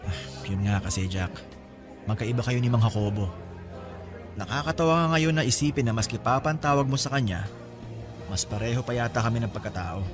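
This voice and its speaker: A man's voice: mid-range voice, expressive, fairly quick, pauses often, close to an everyday voice. A man acting a laid-back, candid older half-brother who likes women, in a casual, joking Filipino radio drama style. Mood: light, admiring. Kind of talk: Tagalog radio drama dialogue, conversational. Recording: music bed behind the voice.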